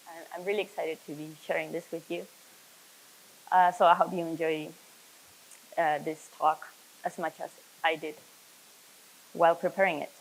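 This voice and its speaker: A young adult woman from Chile giving a technical conference talk as a software developer, earnest and explanatory, with frequent fillers and self-corrections. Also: deep voice, little pitch movement, normal speed, long pauses, rough recording.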